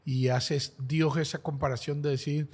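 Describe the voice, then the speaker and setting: A Venezuelan man with a Venezuelan accent, a singer-songwriter guest explaining things in a friendly, warm way. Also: on the high side, level tone, normal speed, husky, in a large hall. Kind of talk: casual interview.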